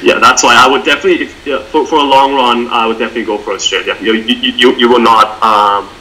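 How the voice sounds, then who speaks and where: A young man in his twenties, speaking English as a second language, answering interview questions over a webcam from home in an earnest, halting way with frequent restarts. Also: medium pitch, fairly even intonation, pushed and bright, a little hiss or hum, low-quality recording. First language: not English. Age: 20s. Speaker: interview guest, online seller. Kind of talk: casual video-call interview. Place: small room at home. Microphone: video call webcam.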